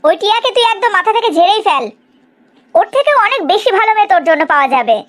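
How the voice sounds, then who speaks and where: A woman's voice: very high voice, big pitch swings, very fast. A woman playing an indignant, bossy middle-aged mother in a cartoon family drama, scolding and exclaiming.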